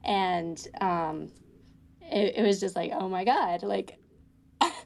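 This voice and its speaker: A young woman in college talks through her experiences in a loose, rambling way. She fills her sentences with "like" and "um" and often starts them over. Her pitch sits right in the middle for a woman. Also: animated, takes it slowly, creaky. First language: English. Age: young adult. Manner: rambling, many fillers and restarts. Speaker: college student guest. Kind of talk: casual conversation.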